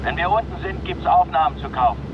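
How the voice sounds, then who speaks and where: Ein Schauspieler als trockener Pilot mittleren Alters. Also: male voice, on the high side, a little fast, narrow, tinny audio, noisy background, close to an everyday voice. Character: middle-aged male pilot, calm and laconic. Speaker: flight instructor.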